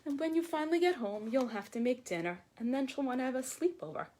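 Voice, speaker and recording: A woman in her forties, a children's librarian reading aloud to young children with expressive, deliberate phrasing. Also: high-pitched. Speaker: children's librarian hosting storytime. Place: small room at home or office, by window. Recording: clearly noisy home video with clicks.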